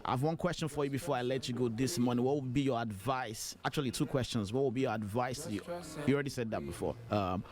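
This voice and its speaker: A man with a Nigerian accent whose first language is Yoruba, hosting a radio interview and talking quickly with many fillers. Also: mid-range voice, over music. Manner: fast, animated, with frequent fillers. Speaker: radio host.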